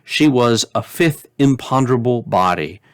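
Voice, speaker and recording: Male storyteller, medium pitch, measured expressive read-aloud, dry recording. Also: clean tone, dry recording. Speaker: storyteller, pastor. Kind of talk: reading a children's story aloud.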